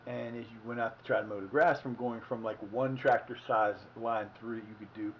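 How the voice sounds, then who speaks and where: Fifties Ohio man, livestream host, chatty and wandering, mid-pitched voice on dull lo-fi audio. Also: fairly even intonation, brisk, slight background noise. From Ohio, United States. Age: 50s. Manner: meandering, self-correcting, folksy. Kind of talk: rambling casual storytelling.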